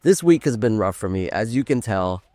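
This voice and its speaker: A man's voice: fairly low pitch, animated, moderate pace, mellow, no room sound. A man with a congested, nasal voice from a cold, co-hosting a podcast in loose, rambling casual chat. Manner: loose, rambling, laughs often. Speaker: podcast co-host.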